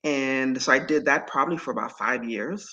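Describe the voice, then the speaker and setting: Deep-voiced woman from Antigua, bright tone. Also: normal speed, highs cut off. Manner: animated, emphatic and expressive. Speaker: guest speaker and coach. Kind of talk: conversational interview.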